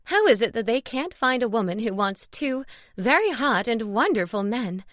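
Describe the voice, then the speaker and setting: A woman's voice: on the high side, very animated, moderate pace, no room sound, clean studio sound, pitched above the performer's own voice. A woman voicing an exasperated but affectionate older mother in an audiobook, grumbling fondly. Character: exasperated, fond older mother, gossiping friend. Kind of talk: audiobook character dialogue.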